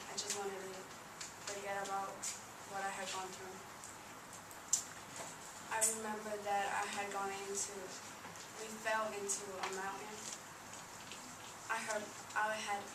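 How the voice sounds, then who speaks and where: A woman reads a first-person life story aloud, sometimes stumbling and restarting her words. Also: mid-range voice. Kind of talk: read-aloud personal narrative. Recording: noisy, echoey room, distant microphone.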